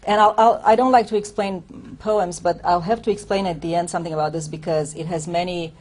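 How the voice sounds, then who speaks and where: Low-voiced woman poet. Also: fairly even intonation, darkish tone. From Romania. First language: Romanian. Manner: unhurried, reflective, explains between poems with hesitant asides. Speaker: poet reading her own work. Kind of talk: poetry reading with spoken commentary.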